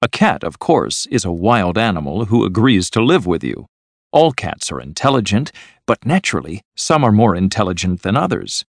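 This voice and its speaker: A man's voice: on the low side, very animated, moderate pace, vocal fry, no echo, exaggerated voice. A man voicing a patient, pompous male inventor lecturing proudly in a storybook reading. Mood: proud, earnest. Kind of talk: storybook character voice.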